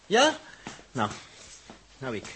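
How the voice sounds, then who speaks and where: A man's voice: medium pitch, huge pitch swings, extremely slow, sharp tone, full character voice. An actor playing a bossy, exasperated, practical spaceship crewman who gives impatient, clipped orders in a 1960s Dutch radio drama. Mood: urgent, bossy. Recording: noisy, clicking 1960s radio recording.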